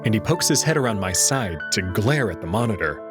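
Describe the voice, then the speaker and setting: A man's voice: lowish voice, sing-song, a little fast. A man reading a visual novel as dramatic first-person scripted narration. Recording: dry close voice over faint music.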